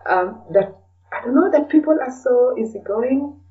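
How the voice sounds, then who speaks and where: Distant, dull-sounding low-voiced woman from Kenya. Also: lively intonation, normal speed. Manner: reflective, hesitant, searching for words. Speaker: interview guest, blogger and podcaster. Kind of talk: casual conversational interview.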